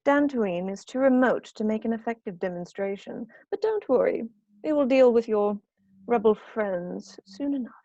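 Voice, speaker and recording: A woman playing a cold, smug, commanding imperial officer, measured and condescending, in a scripted reading. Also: a little high, expressive, dark tone, no echo, dull audio. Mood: gloating. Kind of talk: scripted table read acting.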